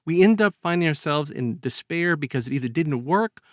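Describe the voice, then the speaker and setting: A man's voice: a little high, lively intonation, fairly quick, no room sound, clean studio sound. A man speaking as a podcast host in an earnest, emphatic way, talking through ideas conversationally. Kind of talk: conversational discussion of a story's moral.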